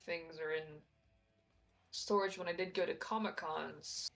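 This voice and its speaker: A woman's voice: fairly low pitch, fairly even intonation, a little slow, gravelly, a bit bright, soft background music. A woman chatting casually on a livestream, telling personal anecdotes in a rambling, conversational way.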